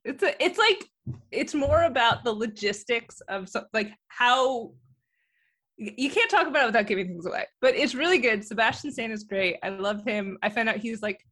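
A woman in her late thirties co-hosting a podcast, chatting casually from her work desk with quick rambling bursts and frequent giggles. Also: fairly high pitch, expressive, fairly bright tone. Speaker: podcast co-host.

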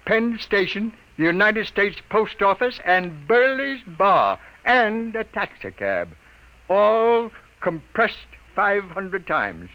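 A man playing a brash, wisecracking newspaper editor in a 1950s radio drama, brisk, cocky and commanding. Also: high-pitched, very animated, bright, forceful tone, full character voice, rough recording. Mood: dramatic. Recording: old radio broadcast recording.

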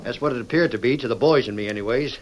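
An actor playing a smooth-lying cowpuncher outlaw with folksy cowboy grammar in an old-time radio western. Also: male voice, mid-range voice, very fast, dull audio, close to an everyday voice. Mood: folksy, deferential. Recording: noisy vintage radio recording.

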